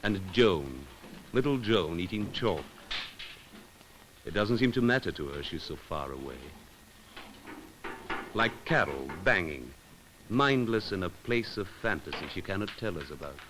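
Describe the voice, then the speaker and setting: A man reading scripted narration for an educational film, in a measured, solemn and poetic way with deliberate pauses. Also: deep voice, very animated, background noise. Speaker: documentary narrator.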